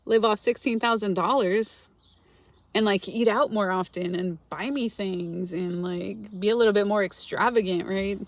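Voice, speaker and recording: A woman's voice: medium pitch, normal speed, no echo. A middle-aged woman from Los Angeles, hosting a casual livestream and chatting with viewers by name, laughing often. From Los Angeles, California. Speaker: frugal-living channel host reading viewer comments.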